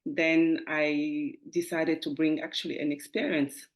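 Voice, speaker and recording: Lower-voiced woman with a Francophone West African accent. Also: level tone, measured pace, rough recording. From Guinea, West Africa. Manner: enthusiastic, often chuckles while talking. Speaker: podcast guest, entrepreneur. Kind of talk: conversational interview answers.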